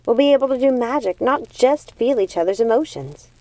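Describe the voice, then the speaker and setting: An eager young witch from a storybook, voiced by a woman reader, earnest and excitable. Her voice lifts high above plain narration and stays close and dry. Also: a little fast, no echo, close to an everyday voice. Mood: excited. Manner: excitable, questioning.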